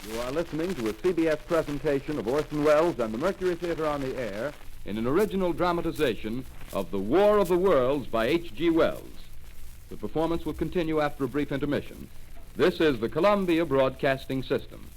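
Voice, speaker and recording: A male announcer with a fairly high voice gives a crisp, formal network station break. His delivery is measured, in the manner of a 1930s radio broadcast. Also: expressive, darkish tone, rough recording.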